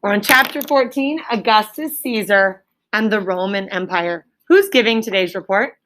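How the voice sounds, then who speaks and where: A woman's voice: mid-range voice, normal speed, a bit bright. A woman teacher reading aloud to her class in an instructive way, stopping to prompt pupils with check-in questions. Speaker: elementary school teacher.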